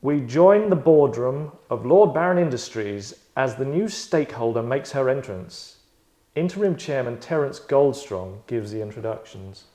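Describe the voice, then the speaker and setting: A man's voice: mid-range voice, lively intonation, normal speed, dark tone. A man hosting a table read, with a wry, rambling way of talking and stage-direction narration. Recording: echoey room sound.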